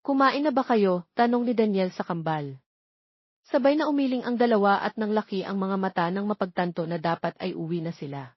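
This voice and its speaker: A woman's voice: medium pitch, fairly quick, husky, no echo, dull audio. A woman reading scripted narration for an audio romance story.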